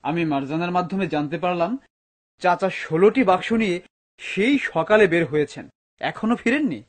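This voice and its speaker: An actor playing a polite, concerned young nephew in a cartoon. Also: male voice, high-pitched, expressive, a little fast. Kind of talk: cartoon dub acting.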